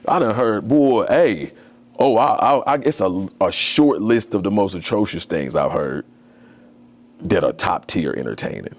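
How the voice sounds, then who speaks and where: A man's voice: lowish voice, expressive, unhurried. A young man, a tattoo artist, talking as a podcast guest in a loose, slangy, storytelling way. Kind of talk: casual conversation.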